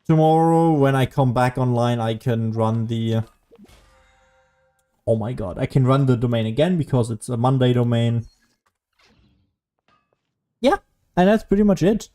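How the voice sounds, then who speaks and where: A man giving casual solo gameplay commentary as a streamer, rambling and thinking out loud as he goes. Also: mid-range voice, measured pace, long pauses. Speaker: gaming streamer.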